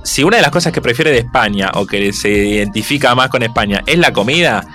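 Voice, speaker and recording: A male radio host with a Rioplatense Spanish accent, speaking at a middle pitch in a loose, joking chat. He throws out provocative questions and steers the talk, with faint music playing under the voices. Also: lively intonation, fairly quick, sharp tone. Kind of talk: casual radio panel chat.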